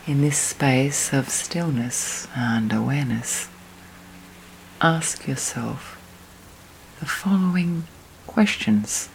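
Woman doing sleep meditation, super slow soft breathy near whisper, bit of rain behind her. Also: extremely low pitch, poor audio. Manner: slow, soothing, long pauses between phrases. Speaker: guided meditation host. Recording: steady storm and rain noise. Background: rain and storm.

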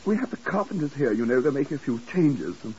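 An actor playing an anxious, dithering English hotel keeper in a 1950s radio drama, stammering and fussy. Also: male voice, medium pitch, sing-song, quick pace, a little mellow, husky. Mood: fussy, chatty. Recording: muffled, hissy 1950s radio recording.

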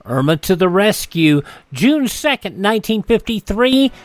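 A man's voice: high voice, sing-song, unhurried, no echo, clean studio sound, faint music behind. A man presenting radio and reading ads in a personal, conversational host style.

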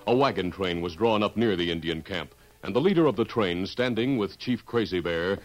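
An American man narrating a 1950s radio drama from a script in a measured, dramatic manner. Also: low-pitched, expressive, poor audio. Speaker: announcer and narrator. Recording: old radio broadcast, music behind.

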